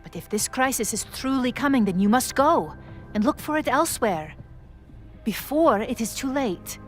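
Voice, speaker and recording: A woman acting a dignified, guilt-ridden figure of authority in a video game, speaking in formal, measured, articulate phrases. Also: a little high, expressive, faint music behind, natural voice. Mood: resigned, grave.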